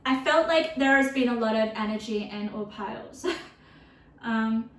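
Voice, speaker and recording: A woman giving a casual tarot reading, chatty and rambling with lots of fillers, her voice turning a little croaky. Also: fairly high pitch, a little slow, reverberant, distant mic. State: voice getting croaky from thirst. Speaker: tarot reader.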